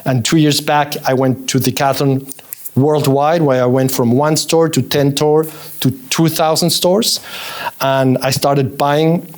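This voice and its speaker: A man in his forties, a native German speaker who grew up partly in Colombia, telling his career story as a podcast guest in a quick, animated way. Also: fairly high pitch. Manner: fast, animated storytelling with restarts. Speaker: interview guest, fashion executive. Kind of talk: casual interview chat.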